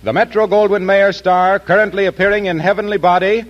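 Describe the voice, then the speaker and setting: A man's voice: high voice, fairly even intonation, brisk. A man announcing and narrating a scripted 1940s radio show in a polished, formal manner. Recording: dull vintage radio broadcast, music behind. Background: music.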